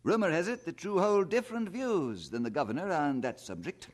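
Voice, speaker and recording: Wry, lively colonial newspaperman. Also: fairly high pitch, brisk. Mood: probing. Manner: wry, genial, probing. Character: inquisitive, teasing, self-assured colonial newspaperman. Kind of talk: audio drama acting.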